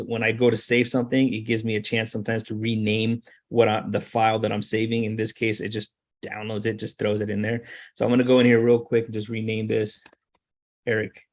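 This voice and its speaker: A man with a mid-pitched voice leads an informal software demonstration. He explains each step as he goes, in a conversational way, and restates key points so they stick. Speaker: software trainer giving a demonstration. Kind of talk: informal screen-share tutorial.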